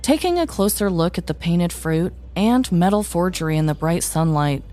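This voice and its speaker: Female podcast storyteller: slightly low voice, scripted and mock-dramatic narration over soft music. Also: animated, normal speed.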